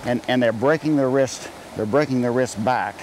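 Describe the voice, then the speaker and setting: An older man coaching fly casting while wading in a wooded trout stream, folksy and repeating his words as he demonstrates. His pitch sits in an ordinary middle range. A faint hiss of running water and outdoor ambience sits under his voice. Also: moderate pace, a little mellow, rough recording. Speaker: fly fishing guide. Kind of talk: outdoor fishing instruction.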